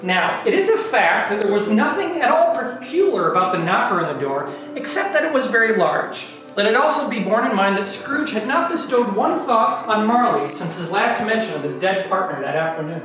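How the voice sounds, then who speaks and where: Woman narrating a funny play reading, wry and theatrical, really animated, far from mic, music behind. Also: mid-range voice, moderate pace, hardly any pauses. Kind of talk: comic dramatic reading of a story. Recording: echoey room, distant mic, music behind.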